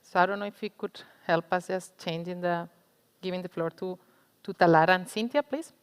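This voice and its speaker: Female online event moderator, resonant mid-pitched voice, courteous, unscripted, heavy reverb. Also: fairly even intonation, moderate pace, clean tone. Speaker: session moderator. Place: online conference call. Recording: reverberant online call audio.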